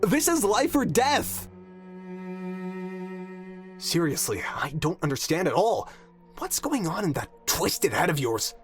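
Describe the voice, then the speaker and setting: A woman's voice: lowish voice, sing-song, slow, pauses often, soft background music. A woman playing a hot-headed, loyal young student in an audio drama, blunt, exasperated and pleading. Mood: frustrated pleading.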